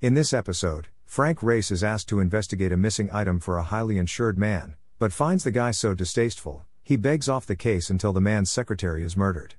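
A man hosts a podcast with a scripted introduction. His voice sits low, recorded close and dry without room sound. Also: lively intonation, brisk, hardly any pauses, darkish tone, no room sound. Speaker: podcast host.

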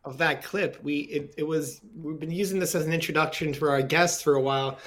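Man, slightly high voice, podcast host, casual conversational interviewing, chatty, rambling phrasing. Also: steady tone, a little fast, fairly bright tone.

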